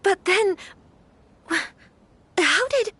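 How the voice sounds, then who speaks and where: High-voiced, hesitant young noblewoman. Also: animated, very slow, no echo, full character voice. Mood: surprised, confused. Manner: polite, hesitant, soft-spoken formality. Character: gentle, well-bred young noblewoman, an anxious bride-to-be. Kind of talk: video game voice acting.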